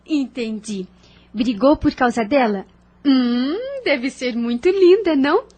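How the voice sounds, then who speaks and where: A woman's voice: high voice, expressive, takes it slowly, a little mellow, exaggerated voice. A woman voicing a young sister in an audio drama, questioning and emotional. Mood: worried. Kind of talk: radio drama acting.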